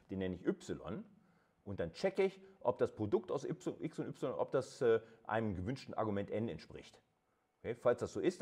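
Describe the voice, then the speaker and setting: A man's voice: fairly low pitch, darkish tone, slightly rough. A man lecturing informally in a colloquial, conversational way, explaining things step by step and addressing his listeners directly. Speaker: university lecturer.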